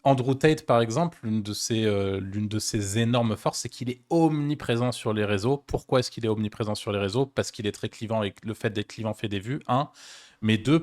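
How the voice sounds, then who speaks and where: A man's voice: mid-range voice, darkish tone, dry recording, clean studio sound. A man with a French accent co-hosting a podcast, talking casually with many hesitations and restarts. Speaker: podcast co-host.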